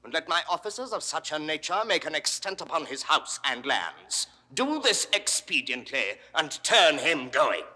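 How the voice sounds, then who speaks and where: Menacing, forceful British tyrant duke. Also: male voice, fairly high pitch, lively intonation, moderate pace, few pauses. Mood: angry, commanding. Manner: clipped commands, swinging from hushed to thunderous. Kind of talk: classical Shakespearean stage acting. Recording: 1960s studio audio drama recording.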